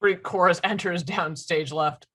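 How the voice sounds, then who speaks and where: A woman's voice: on the low side, a little slow, a bit bright. A woman reading out stage directions at a musical table read.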